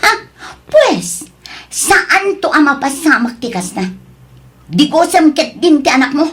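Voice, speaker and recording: A woman playing a demanding, selfish mistress in an Ilocano radio drama, coaxing and haughty, quick to snap. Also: sits high, sing-song. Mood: defiant.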